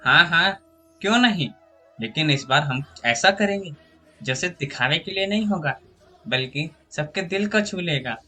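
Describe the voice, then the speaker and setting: A man voicing a gentle, contented village mother in a moral story, reassuring and instructive. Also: fairly high pitch, lively intonation, moderate pace, faint music behind, voice pushed up, exaggerated voice.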